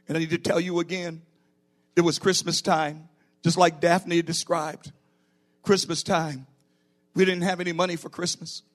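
A man preaching a sermon as a pastor, emphatic and declarative, appealing directly to his listeners. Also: sits high. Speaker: pastor, bishop preaching to own church.

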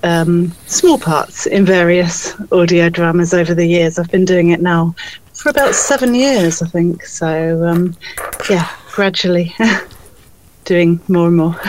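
Low-pitched English woman, chatting casually. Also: fairly even intonation, takes it slowly, a little mellow, a little hiss or hum, low-quality recording. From London, England. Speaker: voice actor and audiobook narrator. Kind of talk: casual conversation.

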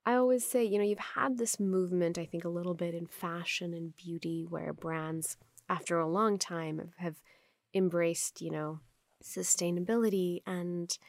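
A woman from Pakistan whose first language is Urdu speaks with a low, gravelly voice. She answers interview questions earnestly, stringing phrases together in long flowing lists. The sound is dry and close. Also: dry recording. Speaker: company founder, guest.

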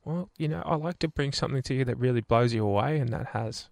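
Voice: mid-range voice, fast talker, vocal fry, darkish tone, no room sound, studio quality. A radio co-host delivering news in a teasing, matter-of-fact way. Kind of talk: casual radio banter.

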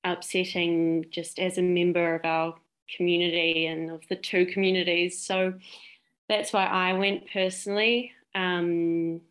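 A woman's voice: fairly low pitch, level tone, a little slow, a bit bright. A young woman from Greece, a youth climate activist, speaking in a heartfelt, hesitant way. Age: young adult. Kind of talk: interview answers.

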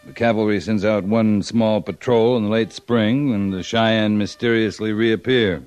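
An actor playing a firm, plain-spoken cavalry scout with an American accent, reporting matter-of-factly in an old-time radio drama. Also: male voice, fairly low pitch, steady tone, normal speed, a little mellow, clear, resonant tone, soft background music, lightly acted. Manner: matter-of-fact, clipped, assured.

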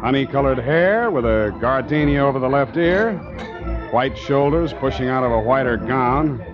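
An actor playing a tough, wary American sea captain and narrator in a 1940s radio drama, with a clipped, wry delivery. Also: male voice, mid-range voice, animated, moderate pace, lightly acted. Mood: dry, hard-boiled narration. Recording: dull old radio recording, organ music.

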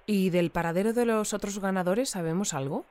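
Woman acting as news interviewer, crisp clear voice, inquisitive, measured, courteous, persistent, careful questioning, audio drama. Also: mid-range voice, a little mellow, lightly acted. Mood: measured, probing. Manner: questioning, polite, careful phrasing.

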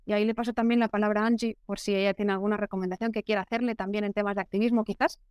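Earnest woman, smooth clear voice. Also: medium pitch, little pitch movement, darkish tone, no room sound. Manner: measured, earnest, explanatory. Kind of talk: interview answer.

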